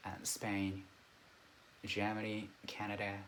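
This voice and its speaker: A man's voice: lowish voice, monotone, slow pace, a bit bright, slight background noise, poor audio. A man, an English learner chatting hesitantly on a video call with many filler words. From Japan. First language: Japanese. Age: 30s. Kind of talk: casual online lesson chat.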